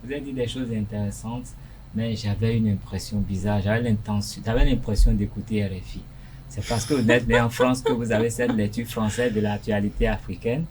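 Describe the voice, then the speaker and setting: A man's voice: on the low side, steady tone, brisk, a little mellow, a little hiss or hum, low-quality recording. A man co-hosting a televised panel debate as a journalist, pressing the guest with earnest, probing questions. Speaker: journalist co-host.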